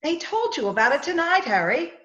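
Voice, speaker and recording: A woman's voice: sits high, big pitch swings, fairly quick, fairly bright tone. A woman playing an earnest, curious young female companion in an old-time radio drama over a video call. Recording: roomy, dull video-call audio.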